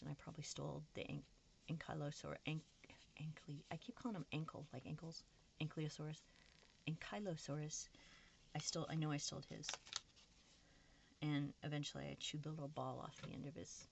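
Low, creaky-voiced woman chatting casually. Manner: self-mocking, candid, talking to herself. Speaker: craft vlogger. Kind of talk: casual rambling chat to viewers while crafting. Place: indoors at a craft table. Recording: noisy room recording with background hiss.

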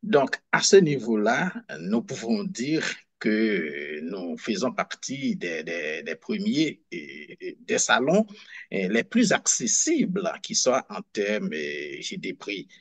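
A man talks slowly over a headset with a husky voice. His pitch rises and falls in a lively way as he builds his points deliberately and repeats words while he finds his next phrase. The sound is dry and close. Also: dry recording. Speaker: guest, book fair director. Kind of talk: conversational interview answer.

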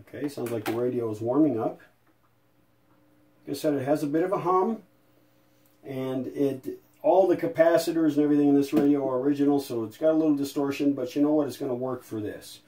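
A man with a soft-edged, mellow voice at an average pitch talks through a hands-on demonstration. He is folksy and unhurried, casually thinking aloud as he explains each step. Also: low-quality recording. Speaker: video host and radio restorer. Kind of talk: casual hands-on demo narration.

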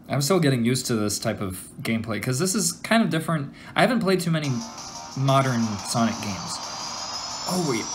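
Low-voiced, animated woman gamer. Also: moderate pace, pauses often. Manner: giggly, easily distracted, exclaiming. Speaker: gameplay host. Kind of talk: casual let's-play commentary. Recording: game music behind the voice. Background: video game music.

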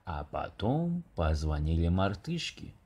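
A man with a low, mellow and rather husky voice reads a children's verse tale. He takes his time, and his pitch moves around in a very lively way, as befits an expressive storybook narrator. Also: takes it slowly.

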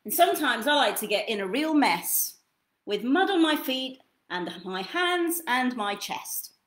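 A young woman reading picture books aloud to children in an expressive, theatrical way, drawing out key words. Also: a little high. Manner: theatrical, deliberate, drawn-out delivery of key words. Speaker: children's storytime reader. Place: small indoor room at home.

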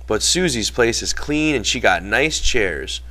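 A man's voice: mid-range voice, expressive, unhurried, bright, forceful tone. A man voicing a brash, gossipy young ranch hand with folksy, slangy rural speech in an audiobook. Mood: chatty.

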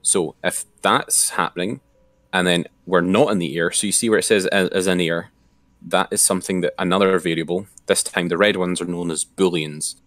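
Low-voiced man giving a casual tutorial. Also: fairly even intonation, moderate pace, fairly bright tone, no room sound. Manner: informal step-by-step explaining. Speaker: instructor. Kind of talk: casual screen-share tutorial.

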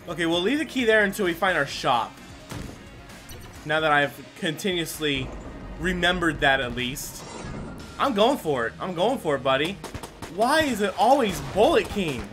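A man hosting a gaming video with animated let's play commentary, talking to himself and to the characters on screen. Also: high voice. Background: video game music.

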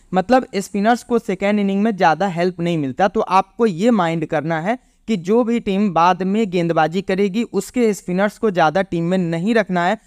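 A man presenting an explanatory cricket pitch report as a voice-over. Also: smooth, dry recording, clean studio sound.

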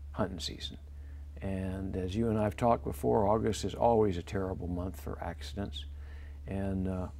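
Older guy hosting, kinda gravelly and low, asks questions slow and friendly. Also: dark tone. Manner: friendly, measured questioning with fillers. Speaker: host. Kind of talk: TV interview hosting. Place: small TV studio. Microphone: lapel mic.

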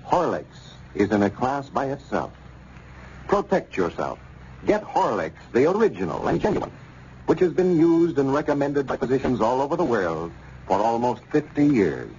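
A muffled vintage radio recording with faint hiss. An American male announcer speaks in a hugely animated, polished 1930s style. His scripted delivery is emphatic, like a sponsor's pitchman. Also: moderate pace.

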